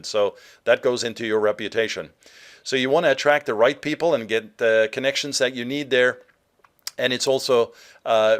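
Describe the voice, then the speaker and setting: A man from Norway with a mid-pitched voice leads a webinar as a marketer addressing his viewers directly. His delivery is conversational and a little halting, peppered with fillers as he explains his points. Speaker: presenter, digital marketer. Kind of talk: webinar sales presentation.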